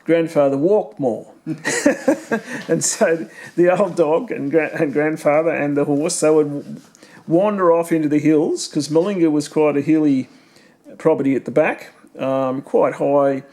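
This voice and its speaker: A man's voice: a little high, unhurried, dark tone. A middle-aged Australian man casually reminiscing about family, rambling with fillers and leading questions. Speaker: family member recording memories.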